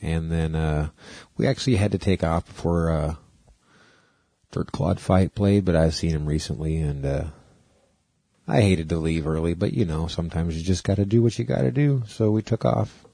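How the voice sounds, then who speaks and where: Male American podcast co-host, very low, dark-toned voice, laid-back rambling chat. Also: normal speed, vocal fry, no echo.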